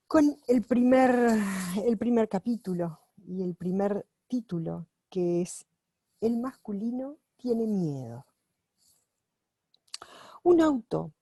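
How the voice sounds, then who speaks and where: A woman reads a book aloud at home, translating it as she goes. She reads carefully, pausing and correcting herself. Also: mid-range voice, warm tone, no echo. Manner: unhurried and careful, with pauses and self-corrections while reading. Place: at home, with her dog nearby.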